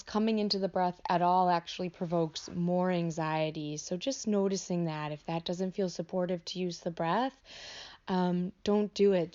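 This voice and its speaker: A woman teaching a guided meditation in an unscripted, gentle way, offering instructions as tentative invitations. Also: mid-range voice, highs cut off. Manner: gentle, hesitant, invitational phrasing.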